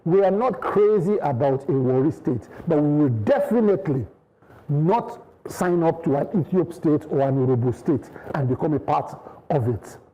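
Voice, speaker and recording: A Nigerian man arguing on a studio news panel, his voice fairly high but very dark and mellow. He talks insistently, circling back through restarts and half-finished clauses as he builds his case. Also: normal speed, rough recording. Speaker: studio guest. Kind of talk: panel debate.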